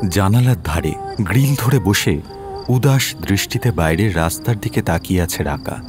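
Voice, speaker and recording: A man's voice: low-pitched, expressive, normal speed. A man reading scripted narration for an audio detective story. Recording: music under the voice.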